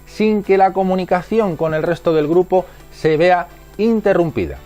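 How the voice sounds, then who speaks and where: A man's voice: high-pitched, expressive, normal speed, dark tone, faint music behind. A man with an accent from Spain reading scripted instructional narration in a methodical, didactic manner. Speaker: narrator of a product explainer.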